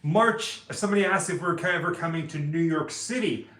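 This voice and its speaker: A man's voice: fairly high pitch, moderate pace, a bit bright, echoey room. A man in his forties co-hosting a live stream, joking around and reading out viewer comments. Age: 40s. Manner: playful, jokey. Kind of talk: casual chat, reading comments.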